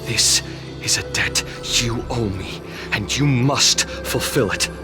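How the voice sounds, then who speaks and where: An actor playing a calm, commanding warrior knight near the end of his life in a game, speaking in a grave, measured way. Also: mid-range voice, natural voice. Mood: stern. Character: dying male warrior knight, calm, commanding old friend. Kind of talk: dramatic game dubbing. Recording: music under the voice. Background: music.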